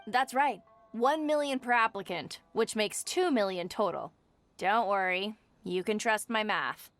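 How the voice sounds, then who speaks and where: A woman voicing a grasping, briskly polite government clerk in a video game, formal and matter-of-fact. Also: mid-range voice, a bit bright, faint music behind. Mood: officious.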